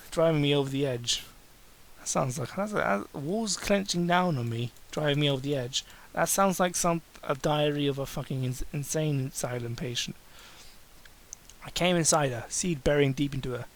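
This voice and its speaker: A man's voice: fairly high pitch, normal speed. A man doing a mocking dramatic reading, breaking into exasperated, sarcastic, sweary asides. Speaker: amateur reader and commentator.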